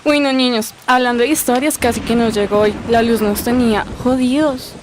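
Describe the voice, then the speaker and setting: Woman playing a high-voiced, preachy mother. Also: steady tone, moderate pace, natural voice. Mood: casual. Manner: earnest, didactic. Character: earnest, preachy Colombian mother lecturing her children. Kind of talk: amateur radio drama acting. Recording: noisy amateur recording.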